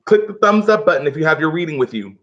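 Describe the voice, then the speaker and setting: A man's voice: fairly high pitch, animated, quick pace, a bit bright. A man teaching a live online reading lesson from his living room, speaking instructively and often checking in with his pupils. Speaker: schoolteacher. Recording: dull, lo-fi livestream audio.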